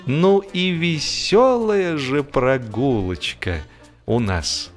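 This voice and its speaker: A man narrating a humorous story expressively, with a wry, theatrical manner and deliberate pauses. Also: mid-range voice, expressive, faint music behind. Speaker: audiobook narrator.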